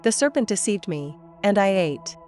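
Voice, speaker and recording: A woman's voice: mid-range voice, sing-song, normal speed, darkish tone, close to an everyday voice. A woman playing an innocent, earnest first woman in a dramatized Bible audio production. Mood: confessing. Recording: background music under the voice.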